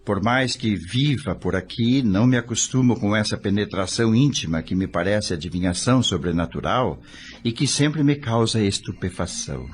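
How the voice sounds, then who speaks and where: Expressive low-voiced man playing a curious spirit narrator. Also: moderate pace, close to an everyday voice. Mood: wondering, reflective. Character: curious, awed male spirit newcomer telling his story. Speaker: narrator and protagonist of an audio novel. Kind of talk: dramatized scripted narration.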